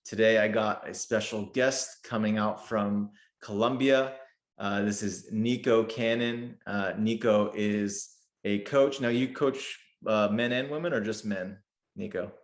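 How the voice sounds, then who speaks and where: Male podcast host, low voice, echoey room, faint music, eager, chatty. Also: steady tone, unhurried. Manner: friendly, eager, filler-heavy. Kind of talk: casual interview.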